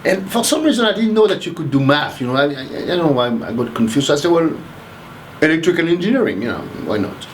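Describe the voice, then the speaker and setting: A man's voice: on the high side, sing-song, fast talker, a little hiss or hum. A man in his sixties from Haiti, speaking casually in an interview and rambling through his memories with many restarts. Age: 60s. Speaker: interviewee, math professor.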